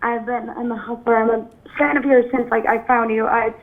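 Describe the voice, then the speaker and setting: A young woman calls in on a thin, phone-like line. She sounds jittery, with lots of restarts and apologies. Her voice sits fairly high. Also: fairly even intonation. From Venezuela. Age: 20s. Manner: nervous, apologetic, halting. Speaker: caller.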